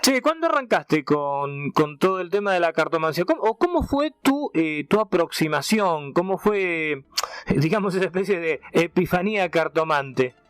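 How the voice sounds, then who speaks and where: A man's voice: high-pitched, very animated, moderate pace, fairly bright tone, clear, resonant tone, dry recording. A man with an Argentine accent, interviewing casually as a radio host. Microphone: studio radio microphone.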